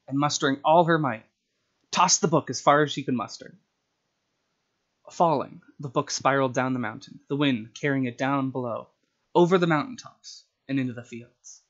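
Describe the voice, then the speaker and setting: A man with a medium-pitched voice hosts a casual story-time livestream. His microphone sounds a little dull and lo-fi, and his delivery is that of someone sharing his own writing with viewers. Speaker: streamer reading own short stories.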